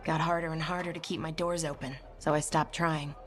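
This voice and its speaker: A woman's voice: deep voice, level tone, fairly quick, a bit bright. A woman playing a prickly, world-weary townswoman, blunt and resigned, in a video game. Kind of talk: video game dialogue acting.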